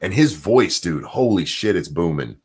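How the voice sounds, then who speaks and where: A man with a very deep, low voice that swings widely up and down in pitch, talking loosely on a livestream. He rambles, swears casually and trails off while he searches for names and titles. His tone is expressive and animated. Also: moderate pace, breathy edge. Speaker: podcast co-host.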